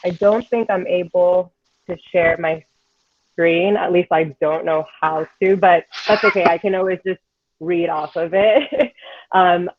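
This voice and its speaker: A woman's voice: lowish voice, no room sound. A woman from the Dominican Republic, a guest speaker giving an informal, chatty talk to an online service over a video call. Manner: chatty, informal, light nervous laughs. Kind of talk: casual talk to a congregation. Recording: muffled video call audio.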